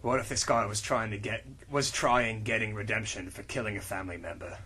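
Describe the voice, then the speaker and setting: Guy doing a brooding tough lawman, way deeper than his own voice, husky, totally deadpan. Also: fairly quick. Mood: gruff deadpan. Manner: deadpan, dragged-out reading of stilted lines. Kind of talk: comic reading of fan fiction dialogue.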